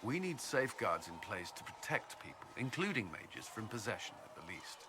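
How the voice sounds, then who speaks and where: A man's voice: on the low side, big pitch swings, background noise. An actor playing an earnest, dutiful military commander in a fantasy video game, a little hesitant in his speech. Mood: measured, earnest. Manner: hesitant, halting when flustered.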